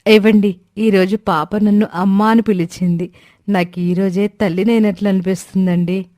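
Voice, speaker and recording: A possessive young mother from a family moral story, acted by a woman. Her voice is mellow and medium-pitched, recorded dry. She speaks emotionally and stubbornly, with fearful, pleading insistence. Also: fairly even intonation, normal speed, dry recording, natural voice. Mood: emotional, relieved.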